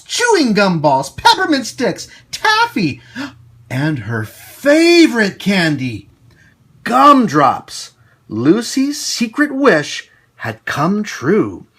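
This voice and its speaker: Very animated man reading to children. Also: very high-pitched. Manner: expressive, exclaiming, addressing young listeners directly. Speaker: children's storytime host and reader. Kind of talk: animated read-aloud storytelling for children.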